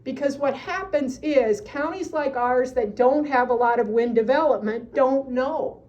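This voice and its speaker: A woman's voice: high-pitched, a little mellow. A woman speaking earnestly at a county meeting, pressing officials to act. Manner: earnest, persuasive advocacy. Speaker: citizen addressing county supervisors. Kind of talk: public comment at a meeting.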